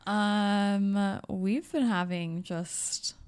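A woman's voice: medium pitch, fairly even intonation, extremely slow, darkish tone, clean tone. A young woman co-hosting a podcast in casual chat, laughing often and trailing off. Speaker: podcast co-host.

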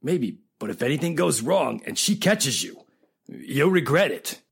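A man's voice: mid-range voice, sing-song, a little slow, gravelly, husky, full character voice. An actor playing a dim, nervous crook's henchman with uneducated gangster talk, in 1940s radio drama style. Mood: worried, doubtful.

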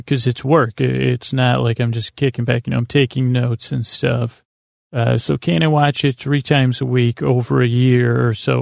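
A man hosting a sleep podcast, rambling in a halting, meandering way with lulling, soothing tones. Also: medium pitch, darkish tone, no echo, clean studio sound. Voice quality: creaky, gravelly. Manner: meandering, hesitant, halting, trailing off into tangents. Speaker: podcast host.

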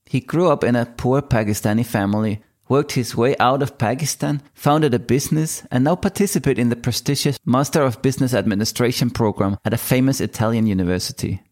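A man hosting an interview show, speaking in a measured way and giving a motivational introduction. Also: medium pitch, darkish tone. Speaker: podcast host.

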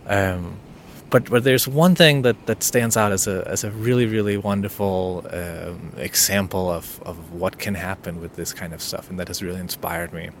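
A lowish-voiced man whose first language is Danish muses aloud on his own podcast. He stumbles and restarts often, speaking intimately and unscripted. A faint rumble of a vehicle sits underneath. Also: normal speed, few pauses. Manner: hesitant, many restarts and fillers, intimate. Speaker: podcast host. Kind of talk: unscripted solo podcast monologue.

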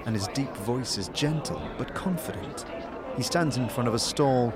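A man narrating a fantasy audio drama in a measured, descriptive storytelling manner. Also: mid-range voice, lively intonation, a loud crowd, close to an everyday voice. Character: male storyteller of a grim fantasy adventure. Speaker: narrator and protagonist. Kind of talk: scripted second-person fantasy narration.

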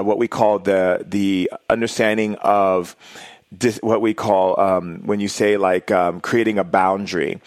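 Male interview guest, lowish voice, dry sound. Effusive, conversational, repeats for emphasis. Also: no echo. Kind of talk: conversational personal reflection.

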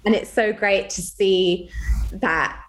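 A young British woman co-hosting a podcast, chatting casually in a candid, expressive way. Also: mid-range voice, takes it slowly. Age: young adult. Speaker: podcast co-host. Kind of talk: casual conversational chat. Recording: clearly noisy background.

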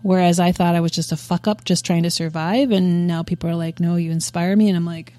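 A woman's voice: fairly low pitch, steady tone, brisk, dark tone, no room sound. A woman in her thirties, a podcast guest talking candidly and profanely, with lots of fillers and restarts. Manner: frank and profane, with frequent fillers. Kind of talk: candid casual interview chat.

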